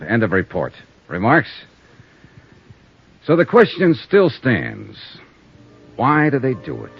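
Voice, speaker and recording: Casting: a cool, wry radio-era private eye, low voice with swinging inflection, dull lo-fi sound. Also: male voice. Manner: cool, probing questioning with a world-weary edge. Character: hard-boiled male insurance investigator, dry and wry. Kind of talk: 1950s radio drama acting and first-person narration. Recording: muffled 1950s radio transcription.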